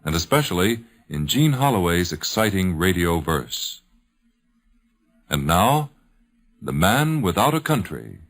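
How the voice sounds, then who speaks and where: Deep-voiced American radio host, expressive. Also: male voice. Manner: formal, measured. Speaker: radio host introducing a drama. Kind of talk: 1950s radio scripted introduction.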